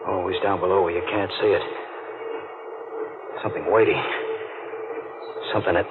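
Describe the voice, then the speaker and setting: A man playing a haunted, guilt-ridden deep-sea diver in an old-time radio thriller, brooding and menacing in halting, clipped phrases. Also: steady tone, close to an everyday voice. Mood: mounting dread. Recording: muffled, noisy vintage radio recording.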